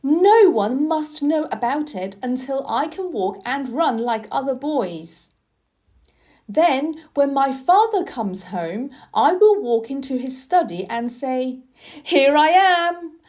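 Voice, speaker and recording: A woman's voice: sits high, expressive, takes it slowly, darkish tone, voice pushed up. A woman voicing an imperious, earnest young boy in a storybook, declaring things emphatically. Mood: proud, resolute.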